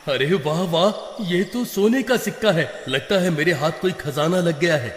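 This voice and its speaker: A man voicing a greedy, excitable villager exclaiming to himself in a children's cartoon story. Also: on the high side, normal speed, lightly acted. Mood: gleeful wonder. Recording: echoey reverb, music behind.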